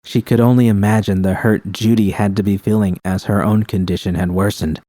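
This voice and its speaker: A man's voice: fairly low pitch, steady tone, a little fast, mellow, no echo. A man reading scripted fiction as the narrator of a story.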